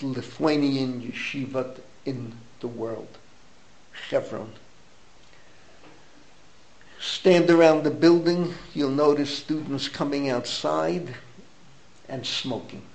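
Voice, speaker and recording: A man's voice: medium pitch, slight background noise, low-quality recording. An older man with an American accent teaching an informal Torah class, emphatic and opinionated, repeating phrases for emphasis and dropping in Hebrew terms. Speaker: rabbi giving a Torah class.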